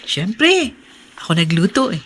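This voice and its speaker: A woman's voice: mid-range voice, sing-song, slow pace, a bit bright, a little hiss or hum. An actress playing a caring, playfully scolding young woman with a Filipino accent in a radio drama. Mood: teasing, caring.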